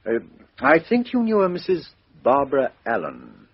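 A brisk Scotland Yard chief inspector. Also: male voice, medium pitch, huge pitch swings, husky. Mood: questioning. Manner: brisk, breezy, lots of "uh". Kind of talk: 1950s radio drama acting. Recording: dull old radio broadcast.

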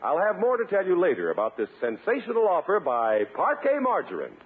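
A man's voice: high-pitched, huge pitch swings, a little fast, background noise. A man working as a radio announcer, reading scripted introductions and sponsor copy in a polished, emphatic 1950s style. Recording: narrow-band 1950s radio recording.

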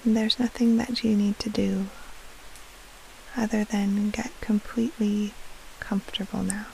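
A woman guiding a sleep meditation in a soft, breathy half-whisper, soothing, with long pauses between short phrases. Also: medium pitch, level tone, poor audio. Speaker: meditation guide, podcast host. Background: soft rain-like hiss.

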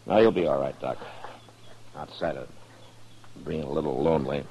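Frontier US marshal, American. Very low voice, terse and firm, unshakably calm. Dull vintage radio western sound. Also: male voice, normal speed, slightly rough, exaggerated voice. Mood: subdued. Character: stoic, commanding male US marshal of the Old West. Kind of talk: 1950s radio western acting. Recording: dull 1950s radio recording, faint noise.

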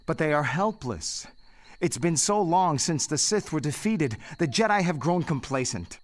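An actor playing a headstrong young Jedi knight, earnest and intense, in an audio drama. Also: male voice, fairly high pitch, expressive, a little slow. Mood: insistent.

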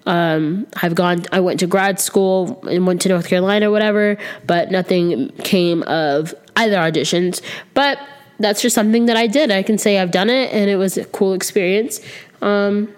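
A woman's voice: medium pitch, normal speed, clean tone. A woman in her twenties hosting her own podcast alone, slightly sick and a little nasal, rambling and candid with lots of ums, sitting close to the mic. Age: 20s. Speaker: podcast host. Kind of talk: casual solo podcast chat. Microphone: close to the microphone.

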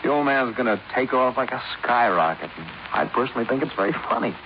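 A man's voice: mid-range voice, expressive, fast, close to an everyday voice. Guy acting smug spoiled young heir, sardonic, fifties radio drama, hissy dull sound. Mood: gleefully mocking. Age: 20s. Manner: mocking, glib, chuckling. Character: flippant, sardonic, spoiled rich son in his twenties. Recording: noisy muffled 1950s radio recording.

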